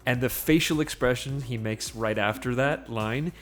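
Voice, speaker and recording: A man on a podcast panel, discussing films casually in a halting, rambling way. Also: medium pitch.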